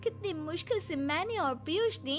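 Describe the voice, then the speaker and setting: Woman doing snobby rich cartoon girlfriend, super high voice, bossy n affected. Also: expressive, normal speed, faint music behind. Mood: scolding. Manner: affected, demanding, mixes in English words. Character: spoiled, haughty young rich girlfriend, demanding, showy.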